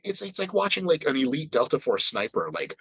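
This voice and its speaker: A man's voice: medium pitch, very animated, a little fast, a bit bright, breathy edge, no echo. A man in his late thirties in casual podcast chat, ranting with emphatic, profane energy. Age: 30s. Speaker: fitness podcast regular.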